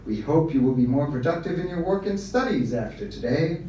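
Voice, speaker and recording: A man reading aloud voices a slick, upbeat official announcement, higher and louder than his own voice. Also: lively intonation, fairly quick, a little hiss or hum, lightly acted. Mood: officious. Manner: bright promotional, salesy. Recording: echoey room from far off.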